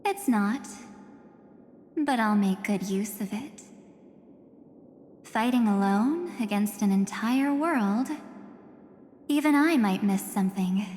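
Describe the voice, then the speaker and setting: An actress playing a cold, self-assured, enigmatic female antagonist in a video game, speaking in measured, coolly threatening phrases. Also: mid-range voice, lively intonation, a little mellow, slight background noise. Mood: cool, dismissive. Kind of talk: dramatic video game voice acting. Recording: long cavernous reverb.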